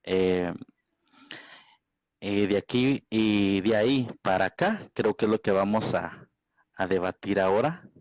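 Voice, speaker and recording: A man's voice: fairly low pitch, animated, measured pace, pauses often, no echo, highs cut off. A man speaking off the cuff in a religious debate, hesitant and often checking for agreement. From Guatemala. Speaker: evangelical debater. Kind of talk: extemporaneous religious debate introduction.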